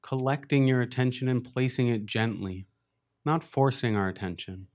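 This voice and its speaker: A man leading a beginners' body scan meditation, speaking slowly and gently with long pauses. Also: medium pitch. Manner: slow, gentle, unhurried guidance with long pauses. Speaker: meditation teacher. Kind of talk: guided meditation.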